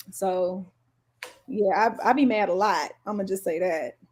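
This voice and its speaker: A woman's voice: medium pitch, moderate pace, darkish tone, a little hiss or hum. A woman co-hosting a podcast, talking casually and emphatically about music as an opinionated fan. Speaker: podcast co-host.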